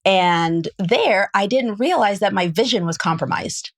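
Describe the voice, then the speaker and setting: A woman's voice: mid-range voice, expressive, unhurried. A woman from Cameroon who is a reproductive endocrinologist, speaking as an interview guest in a blunt, plain-spoken, joking way. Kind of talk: casual interview conversation.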